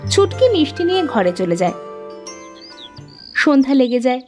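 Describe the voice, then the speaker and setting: A woman's voice: high voice, sing-song, normal speed, darkish tone, faint music behind. A woman reading scripted fairy-tale narration for a cartoon as its storyteller.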